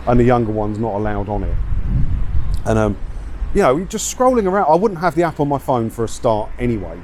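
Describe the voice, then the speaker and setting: A middle-aged man talks in a blunt, informal way, rambling casually to camera. His voice sits at an average pitch. It is recorded outdoors, with faint street and traffic noise behind him. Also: sing-song, brisk, a little mellow. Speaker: video creator and host. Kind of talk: casual walking vlog, rambling chat to camera. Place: outdoors, walking around a seaside town.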